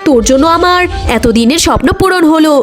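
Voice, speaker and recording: A woman acts a mean, greedy aunt from a children's cartoon. The voice is very high, with music in the background. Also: lively intonation, brisk. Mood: gleeful, greedy.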